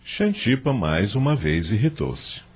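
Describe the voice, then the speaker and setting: A man's voice: lowish voice, big pitch swings, measured pace, darkish tone, slight background noise. A man narrating a radio drama in a scripted, dramatic storytelling style.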